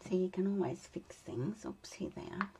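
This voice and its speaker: An Australian woman with a tired, croaky voice chatting casually and laughing as she demonstrates card making at her craft desk. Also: on the low side, animated, normal speed, warm tone, a little hiss or hum. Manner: chatty, laughs often, mutters to herself while working. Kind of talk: casual crafting tutorial chat. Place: indoor craft desk, overhead camera.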